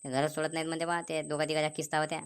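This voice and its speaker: Woman playing a rapid-fire, low-voiced nagging villager. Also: flat delivery, smooth. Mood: skeptical nagging. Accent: Vidarbha Marathi. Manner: chattering, admonishing. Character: nagging villager, cautioning and skeptical. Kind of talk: comic cartoon dialogue.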